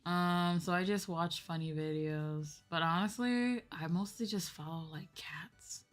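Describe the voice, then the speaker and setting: A woman's voice: on the low side, a little slow. A young woman streamer chatting casually and informally with her viewers on a livestream. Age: young adult.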